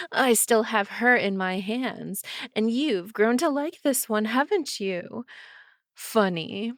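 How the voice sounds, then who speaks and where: A woman performs a vampire villainess in an ASMR roleplay drama. The voice is fairly high and very dry and close, drawling slowly with sly, mocking menace. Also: lively intonation, no room sound. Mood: taunting. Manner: teasing, sly, drawn-out delivery.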